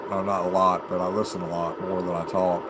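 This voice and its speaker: An American man with a lowish voice rambles casually to his livestream viewers. He takes his time, pausing and restarting as he thinks aloud. Loud, steady noise runs behind his voice. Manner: halting, unhurried, thinking aloud. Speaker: amateur livestreamer and CB radio hobbyist. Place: home basement.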